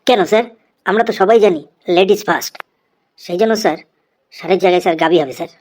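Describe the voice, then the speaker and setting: A woman's voice: fairly low pitch, fast, darkish tone, slight background noise, close to an everyday voice. A woman voicing an eager, cheeky, long-winded schoolboy in a comedy cartoon, speaking earnestly and respectfully. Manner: deferential, earnest, rambling classroom recitation. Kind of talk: Bengali comedy cartoon voice acting, recitation.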